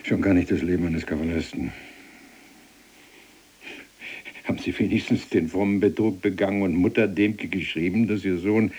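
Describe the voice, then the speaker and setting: Deep, husky, sardonic German prisoner. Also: male voice, steady tone, fairly quick, a little mellow. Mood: challenging. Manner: dry, needling, mock-deferential. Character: blunt, sardonic male cellmate, former German subordinate. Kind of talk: German audio drama acting, dialogue.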